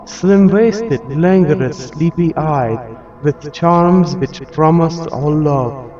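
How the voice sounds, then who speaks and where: A man's voice: a little high, muffled recording. A man playing a stately reciter who declaims ornate couplets in an audio drama, grand and drawn out.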